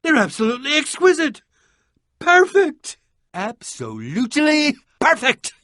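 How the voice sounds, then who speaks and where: A man's voice: extremely high pitch, wildly swooping pitch, measured pace, sharp tone, no room sound, studio quality, heavily acted. A man voicing a pompous, irritable, middle-aged eccentric adventurer and potion maker in a video game, with a dramatic, sardonic, fussy delivery. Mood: excited, theatrical. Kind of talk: animated video game voice acting.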